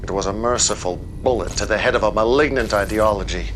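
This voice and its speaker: A man's voice: fairly low pitch, fast, a little hiss or hum, close to an everyday voice. A man playing a menacing messianic cult leader who delivers solemn, oratorical proclamations in a video game. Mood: grandiose triumph. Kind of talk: scripted video game propaganda speech.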